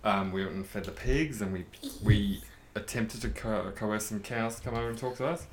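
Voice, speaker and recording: A man with a fairly low voice, hosting a loose podcast chat full of jokes and laughter. He rambles and restarts as he talks, with faint room noise behind him. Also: moderate pace. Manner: jokey, rambling, laughs a lot. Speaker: podcast host. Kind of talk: casual chat. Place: home at night.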